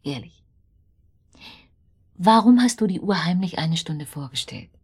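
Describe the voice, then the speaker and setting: An actress in a German radio drama playing a hurt, suspicious wife with a husky voice, probing her husband. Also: fairly low pitch, expressive, a little slow, darkish tone.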